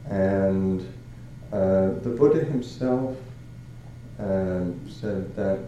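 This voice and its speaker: An older man from Canada giving a Buddhist talk as a monk, halting and searching for words with many fillers and soft chuckles. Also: sits low, very echoey, highs cut off, a little hiss or hum. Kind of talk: dharma talk.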